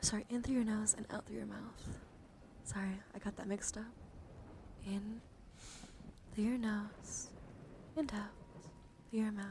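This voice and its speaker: A woman livestream host speaking in a soothing, mostly whispered ASMR style, breathy and casual, with playful encouragement. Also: mid-range voice, steady tone, unhurried, long pauses, mellow, a little hiss or hum. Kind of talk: whispered ASMR card reading with casual chat.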